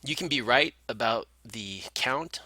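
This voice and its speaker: A man's voice: mid-range voice, expressive, unhurried, pushed and bright, no echo. A man giving informal, explanatory screen-share commentary as a market analyst, talking through charts step by step.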